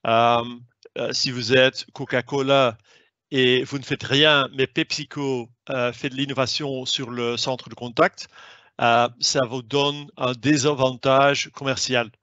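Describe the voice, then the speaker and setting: A man's voice: medium pitch, level tone, takes it slowly, pushed and bright, no room sound. A man whose first language is not French, presenting a webinar as a sales lead in a hesitant way, with many fillers and restarts. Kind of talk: online presentation. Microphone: video call. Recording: dry, slightly dull online call audio.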